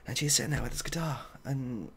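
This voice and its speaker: A soft-spoken man improvising a story in a hesitant, dry, rambling and self-deprecating way. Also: mid-range voice, a little fast, vocal fry, husky. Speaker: ASMR video host.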